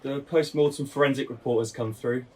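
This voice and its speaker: A man's voice: medium pitch, fairly even intonation, fairly quick, breathy edge, natural voice. A man playing a mild, considerate police detective in a naturalistic audio drama. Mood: polite. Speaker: actor in an audio play.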